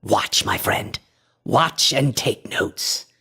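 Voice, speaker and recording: Man acting a husky, creaky, obsessed antiquarian. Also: mid-range voice, lively intonation, sharp tone, full character voice. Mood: intent, commanding. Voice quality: breathy, husky, often dropping to a whisper. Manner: grandiose, deliberate, formal diction. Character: obsessed, imperious male antiquarian experimenting with a mystical drug. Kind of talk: theatrical radio horror acting.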